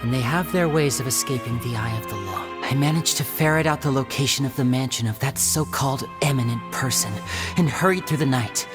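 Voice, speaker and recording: An actor playing an earnest, guarded young stage magician in a video game, speaking in a measured, explanatory way. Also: male voice, mid-range voice, close to an everyday voice. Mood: grave, recounting. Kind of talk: video game story voice acting. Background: background music.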